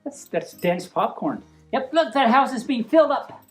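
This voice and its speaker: Woman reading a story, very lively pitch. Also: normal speed, soft background music. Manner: playful, rambling, easily sidetracked. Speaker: storyteller reading a picture book. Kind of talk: informal read-aloud with playful asides.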